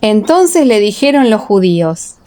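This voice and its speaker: A woman reads scripted scripture narration as an audiobook narrator. Her mid-pitched voice is formal and measured, with a dramatic storytelling style. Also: very animated, dark tone.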